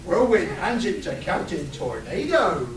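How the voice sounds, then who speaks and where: A man's voice: high-pitched, big pitch swings, unhurried. A man playing the narrator of a comic superhero radio play, reading scripted scene links. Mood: scene-setting. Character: male storyteller narrating superhero radio serial. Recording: echoey room, distant mic.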